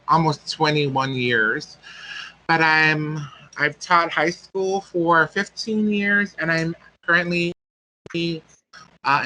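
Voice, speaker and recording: A man's voice: on the high side, bright, forceful tone, slight background noise, poor audio. A man, telling a personal story as a guest speaker and often searching for words. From American Samoa.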